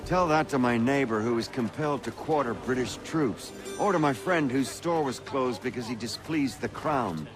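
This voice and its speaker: An actor playing a genial, self-assured colonial gentleman politician in a video game, with polite, eloquent, oratorical speech. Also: medium pitch, normal speed, hardly any pauses, over music, lightly acted. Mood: defensive, persuasive. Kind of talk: dramatic video game voice acting.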